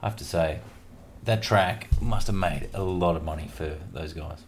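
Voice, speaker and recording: A man with a very low, deep voice, rambling and joking as he hosts a casual radio show. He fills his talk with "uh" and asides. Faint noise hums under the recording. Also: normal speed. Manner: chatty, jokey and rambling, with many fillers. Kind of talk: casual banter between music tracks.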